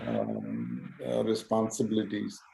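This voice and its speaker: Casting a male physician guest on a video call: unhurried, halting speech full of fillers, mid pitch, light background noise. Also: very animated, creaky, mellow, rough recording. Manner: hesitant, many fillers and restarts. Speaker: guest speaker, physician and YouTuber. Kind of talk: conversational interview answers.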